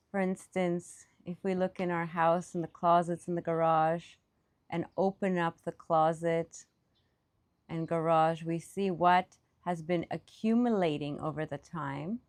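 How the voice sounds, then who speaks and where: A woman leading a guided meditation as a teacher, speaking off the cuff in reflective, halting phrases with pauses. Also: fairly low pitch, a little mellow.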